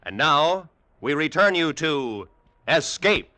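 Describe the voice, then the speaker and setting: A man's voice: on the high side, very animated, takes it slowly, pushed and bright. A man giving a polished, promotional 1940s network radio announcement.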